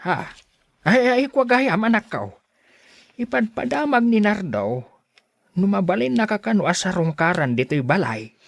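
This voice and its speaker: A man's voice: high-pitched, big pitch swings, a little slow, gravelly, no echo, studio quality, heavily acted. A man playing an affectionate old father in a radio drama, coaxing and fussing with an Ilocano accent. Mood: pleading, fussing.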